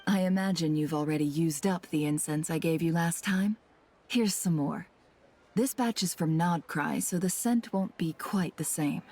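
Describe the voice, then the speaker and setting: A woman's voice: low-pitched, normal speed, mellow, breathy edge. A woman voicing a composed, wry, teasing intel broker in a video game. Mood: smooth, teasing. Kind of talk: animated video game voice acting.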